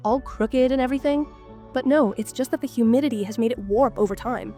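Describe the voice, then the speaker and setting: A fairly high-voiced woman with a stuffed-up, congested sound from a cold. She gives a chatty, excitable guided tour of an abandoned house, often exclaiming in amazement. Faint music plays under her voice. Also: fairly quick. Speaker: urban explorer vlogger. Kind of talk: casual guided tour narration.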